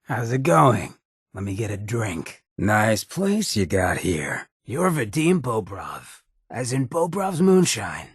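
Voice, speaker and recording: An actor playing a swaggering, sardonic ghoul gangster companion in a video game, with a gravelly rasp and a wry, streetwise drawl. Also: male voice, fairly low pitch, sing-song, slow pace, no echo, studio quality, heavily acted. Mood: wry, casual. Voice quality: gravelly, raspy.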